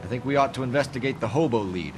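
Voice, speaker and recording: An actor playing a smug, proper, keen young police detective in a 1940s crime drama game. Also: male voice, mid-range voice, fast, close to an everyday voice. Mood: earnest. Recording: clear street and car noise.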